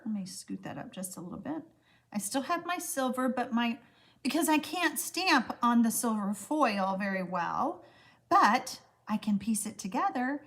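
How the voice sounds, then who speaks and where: Chatty woman, lively pitch. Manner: chatty, talks to viewers while working. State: froggy voice from allergies. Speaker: card-making demonstrator hosting a livestream. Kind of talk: casual livestream craft demonstration.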